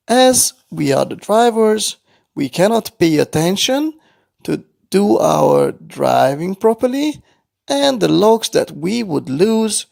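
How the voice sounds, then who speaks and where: A man's voice: high voice, creaky, a little mellow, no room sound, clean studio sound. A man narrating a toy-play bedtime story for young children, explaining things simply as he goes.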